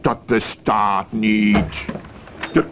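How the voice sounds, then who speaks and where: An actor playing a flustered, excitable sheriff in a comedy radio play, stammering, rambling and repeating himself. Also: male voice, lowish voice, very slow, noisy background. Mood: excited, incredulous. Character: flustered, excitable American small-town sheriff, just woken.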